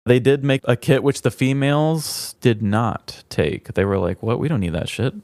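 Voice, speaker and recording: A man's voice: medium pitch, animated, moderate pace, darkish tone, dry recording. A man with a mid-range voice talks as a podcast co-host. He is casual, chatty and a little wry, and the sound is close and dry with very little room. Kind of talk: casual comedic chat, reading trivia aloud.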